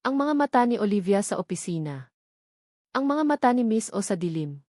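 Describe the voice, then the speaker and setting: A woman's voice: medium pitch, very animated, moderate pace, darkish tone, dry recording, clean studio sound. Woman narrating a dramatic love story. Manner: dramatic, suspenseful.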